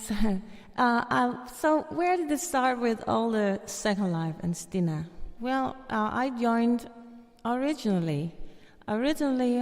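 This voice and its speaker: A woman with a Danish accent tells her story in a loose, chatty way, full of fillers and quick laughs. Her voice is mellow and warm. The sound carries a long, trailing reverb. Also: medium pitch. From Denmark. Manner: chatty, laughs often, many fillers and restarts. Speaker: guest performer telling her story. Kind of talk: casual storytelling.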